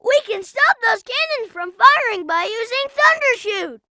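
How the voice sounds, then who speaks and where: An actor voicing a plucky young cartoon fox boy sidekick in a video game, childlike and earnest, giving clearly spoken hints and warnings. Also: very high-pitched, lively intonation, unhurried, noisy background. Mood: excited, alarmed.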